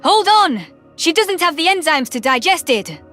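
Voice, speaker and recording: Concerned spacefaring human, played by a woman, high lively voice, urgent explainer, faint music. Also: normal speed, heavily acted. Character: cautious, concerned human female crewmate. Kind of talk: sci-fi audiobook character voice.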